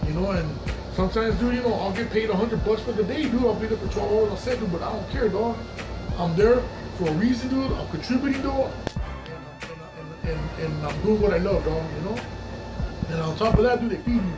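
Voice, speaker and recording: A man's voice: high voice, brisk, far from the mic. A middle-aged man in a casual interview, talking in loose, profane street slang peppered with "dude" and "dog", recorded in a small studio a few feet from the camera. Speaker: rapper and actor being interviewed. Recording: roomy, off-mic, music behind.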